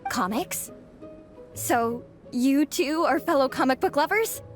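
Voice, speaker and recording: A woman's voice: high voice, expressive, slow pace. A woman playing a dramatic, playful young student and comic fanatic in a video game, with a theatrical delivery. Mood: grandiose, playful. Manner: theatrical, overdramatic. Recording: faint music behind the voice.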